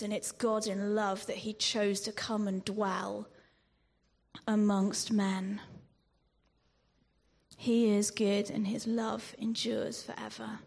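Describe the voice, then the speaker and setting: A woman preaching a sermon in a measured way, with ums and restarts, sometimes dropping to a whisper on scripture. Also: mid-range voice, vocal fry, darkish tone, breathy edge. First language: not Greek. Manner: slow, measured delivery with frequent ums and restarts.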